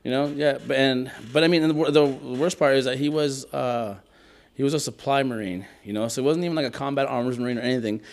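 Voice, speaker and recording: A man's voice: mid-range voice, fast. A man from the San Francisco Bay Area, a Marine veteran guest, telling war stories in casual, profane slang. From San Francisco Bay Area, United States.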